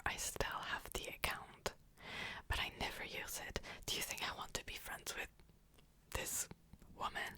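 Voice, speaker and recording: A young woman whispering a personal story in a chatty way, full of "like" fillers and small laughs. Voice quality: soft whisper throughout. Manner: chatty, frequent "like" fillers, amused.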